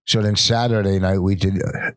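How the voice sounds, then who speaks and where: A very deep-voiced older man talks in a loose, rambling way, recounting stories with false starts and filler. The sound is close and dry, with no room around it. He comes across as a working comedian in casual conversation. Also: flat delivery, normal speed, dry recording. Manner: rambling storytelling with many restarts. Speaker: stand-up comedian co-hosting a podcast. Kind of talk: casual chat.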